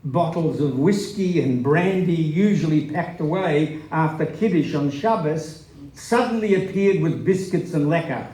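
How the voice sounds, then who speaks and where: A man's voice: on the high side, expressive, a little slow, warm tone. A man giving a scripted dramatic reading of a memoir on stage in an echoey hall. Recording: echoey live hall recording.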